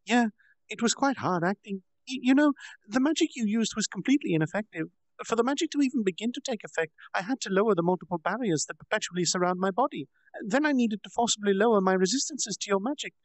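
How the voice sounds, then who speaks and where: A man's voice: sits high, expressive, quick pace, dry recording, voice pushed up. A man voicing a proud, childlike girl demon lord who boasts in a bubbly sing-song. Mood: smug.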